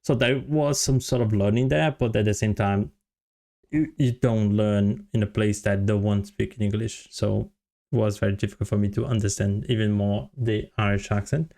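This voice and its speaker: A Brazilian man in his thirties whose low voice has a gravelly edge. He talks to his camera in a loose, rambling way, with plenty of "uh" and "you know" as he finds his words in his second language. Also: fairly even intonation, normal speed, dark tone. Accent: Brazilian Portuguese. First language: Portuguese. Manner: rambling with many fillers and restarts. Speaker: vlogger, software developer. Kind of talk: casual storytelling to camera.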